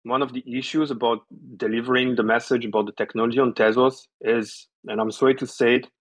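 A man's voice: medium pitch, fairly even intonation, fast. A man from southern France with a French accent, a guest speaking casually in an interview, stressing his words and using lots of "you know". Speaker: guest, developer relations.

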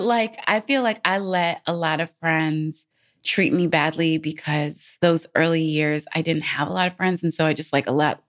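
A woman with a slightly low voice shares personal stories as a podcast co-host. Her delivery is casual and candid, full of conversational asides, like talk between close friends. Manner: informal, frequent "like" and "you know what I'm saying".

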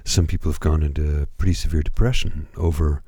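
A hoarse man hosting a radio music show, talking calmly with many pauses and hesitations. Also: extremely low pitch, no room sound, clean studio sound. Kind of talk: slow, calm radio presentation.

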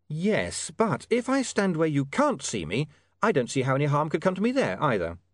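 Man playing a wry, precise, lively gentleman. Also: a little high, moderate pace, no room sound, clean studio sound, pitched above the performer's own voice. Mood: wry, pedantic. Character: dry, pedantic, quick-witted gentleman newspaperman.

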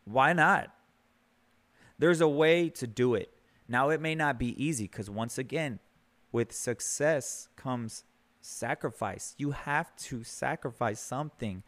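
A man's voice: medium pitch, slow pace, a bit bright. Earnest man giving a motivational talk. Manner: earnest, conversational, repeats words as he builds a point. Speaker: podcast host. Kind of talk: motivational monologue.

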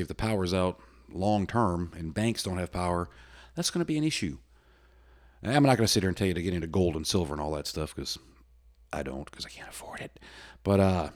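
A middle-aged American man hosting a solo podcast, talking in a loud, folksy, rambling way with many restarts. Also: sits low. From United States. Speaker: podcast host. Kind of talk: solo casual podcast talk.

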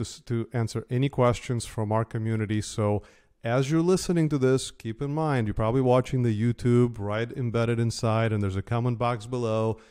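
Mellow-voiced podcast host man. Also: medium pitch. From Belarus. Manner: lively, emphatic. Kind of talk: podcast interview.

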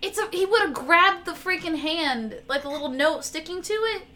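A woman from the South chats casually and animatedly, laughing as she tells a story. Her voice sits high and sounds bright and sharp. Faint room noise hums under her. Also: animated, moderate pace. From American South. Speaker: guest. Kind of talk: casual chat.

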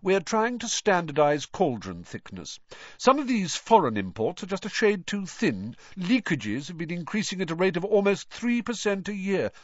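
A man's voice: high voice, sing-song, normal speed, no echo, pitched above the performer's own voice. A man voicing a pompous, self-important young man in an audiobook, with a prim, fussy manner. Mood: smug. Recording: dry, slightly dull studio sound.

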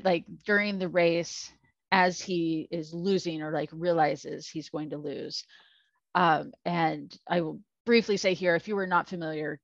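A woman's voice: low-pitched, no room sound, muffled recording. A woman co-hosting a podcast in casual chat, rambling with lots of fillers. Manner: rambling, filler-heavy, self-deprecating. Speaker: podcast co-host.

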